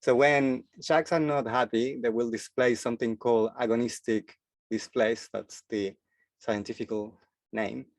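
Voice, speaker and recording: A man's voice: mid-range voice, steady tone, measured pace, dry recording. A man from Chile, giving a presentation as a freediving instructor and speaking hesitantly with many filler sounds. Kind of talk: forum presentation talk.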